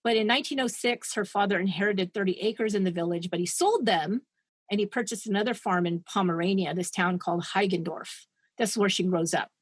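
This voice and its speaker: A woman's voice: mid-range voice, brisk, dry recording. A woman co-hosting a podcast, retelling a true-crime story in a chatty, digressive way and laughing at her own asides. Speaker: podcast co-host.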